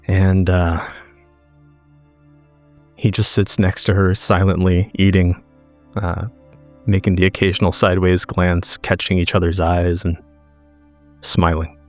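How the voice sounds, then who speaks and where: A man narrating his character's scene in a tabletop game, measured and deliberate, phrase by phrase. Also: deep voice, level tone, faint music behind. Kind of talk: slow, descriptive story narration.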